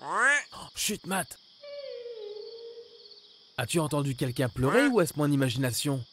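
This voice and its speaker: A man narrating a children's cartoon, gently questioning and reassuring the characters. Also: a little high, very animated, measured pace, slight background noise.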